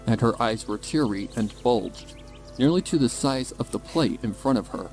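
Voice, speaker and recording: A man's voice: mid-range voice, lively intonation, moderate pace, a little mellow. A man reading scripted, dramatic story narration as the narrator. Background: music bed.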